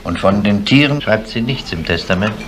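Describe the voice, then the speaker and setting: Male actor for sceptical, grumbling detective, low register, probing and dry; vintage radio crime play with clear background noise. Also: expressive, moderate pace, close to an everyday voice. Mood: insistent. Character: grumpy, sceptical, needling male police inspector. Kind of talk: 1970s German radio crime drama acting.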